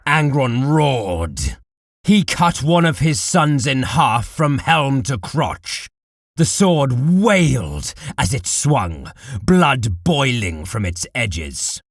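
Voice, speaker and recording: A man narrating dark war fiction as a scripted audiobook, in a deliberate, dramatic manner. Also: mid-range voice, very animated, no room sound, studio quality.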